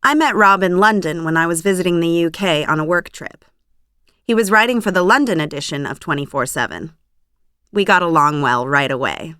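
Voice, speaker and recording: A woman, playing a young magazine employee in a scripted language-course dialogue, chatty and lively. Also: fairly low pitch, fairly quick, smooth, no room sound, clean studio sound. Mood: cheerful.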